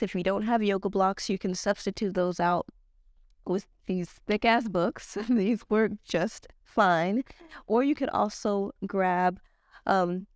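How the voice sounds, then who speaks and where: A woman with a stuffed-up, slightly nasal voice, leading a slow guided yoga class in a casual, slangy way. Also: fairly low pitch, clear, resonant tone, no room sound, clean studio sound. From Nigerian-American. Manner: casual slangy teacher, slow calm cues. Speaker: yoga teacher.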